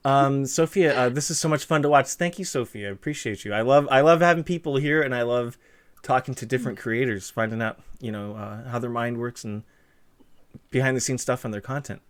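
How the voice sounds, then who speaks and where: A man's voice: medium pitch, a little fast, clean tone. A young man hosting a live stream, chatting casually with a guest and reading out viewer comments in a rambling, easygoing way. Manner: chatty, rambling, many fillers and restarts. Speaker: streamer host interviewing a guest.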